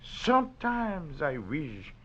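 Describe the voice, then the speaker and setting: An actor playing a proud, bored French detective in a theatrical, taunting vintage radio drama manner. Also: male voice, fairly high pitch, wildly swooping pitch, takes it slowly, slight background noise, full character voice. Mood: smug, bored. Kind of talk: 1940s-50s radio drama acting. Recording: dull vintage radio recording.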